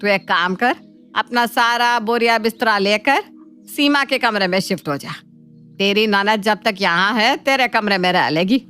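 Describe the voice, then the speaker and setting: A woman's voice: mid-range voice, normal speed, pushed and bright, clear, resonant tone, natural voice. A woman voicing a scheming, middle-aged cartoon mother-in-law who scolds, coaxes and dotes on her daughter. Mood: coaxing, commanding. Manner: scolding, coaxing, commanding. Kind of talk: Hindi cartoon family drama acting. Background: faint background music.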